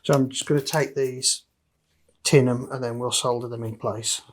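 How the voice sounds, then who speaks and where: A man presents a hands-on DIY tutorial in a casual, matter-of-fact way. His voice sits at a middling pitch, and he talks through each small step as his hands do the job. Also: fairly even intonation, moderate pace, noisy background. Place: indoor electronics workbench.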